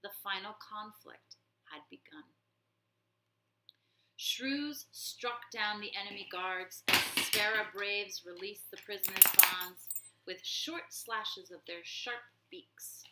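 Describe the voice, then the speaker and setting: A woman's voice: medium pitch, takes it slowly, roomy sound, heavy background noise. A woman reading an adventure story aloud as dramatic narration. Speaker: storybook reader.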